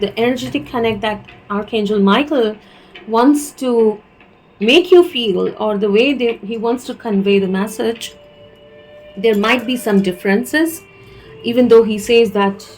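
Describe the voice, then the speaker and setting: A woman's voice: fairly high pitch, a little mellow, poor audio. Indian woman giving a hesitant tarot reading. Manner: hesitant, many fillers and restarts. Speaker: tarot reader.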